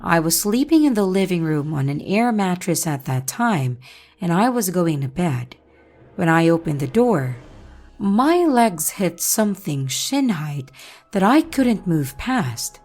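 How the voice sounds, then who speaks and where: A woman hosting and narrating creepy first-person stories in a slow, expressive way. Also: on the low side, faint music behind. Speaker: channel host and narrator.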